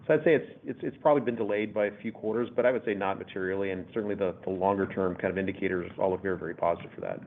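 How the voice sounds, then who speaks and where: A man's voice: sits low, fairly even intonation, very fast, gravelly, few pauses, phone-quality sound. A male finance executive giving unscripted answers on an earnings call, often restating his phrases as he goes. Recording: boxy phone conference line.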